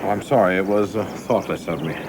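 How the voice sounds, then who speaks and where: An actor playing a young, ambitious government investigator in a radio play, coldly precise, formal and deferential but sure of himself. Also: male voice, low-pitched, fairly quick, noisy background, natural voice. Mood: polite, deferential. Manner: deferential but self-assured, formal and exact.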